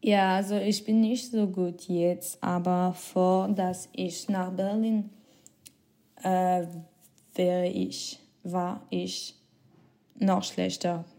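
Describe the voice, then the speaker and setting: A young woman from Switzerland answering interview questions in hesitant learner's German with many pauses and small laughs. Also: on the low side, level tone, gravelly, warm tone. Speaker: guest, former exchange student.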